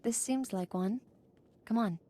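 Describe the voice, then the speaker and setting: A woman's voice: a little high, a little slow, warm tone. An actress voicing a guarded but flirtatious woman smuggler in a video game. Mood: warm, inviting.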